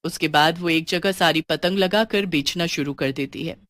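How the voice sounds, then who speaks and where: A woman's voice: low voice, moderate pace, a bit bright, no room sound. A woman storyteller giving scripted, dramatic narration of a horror tale.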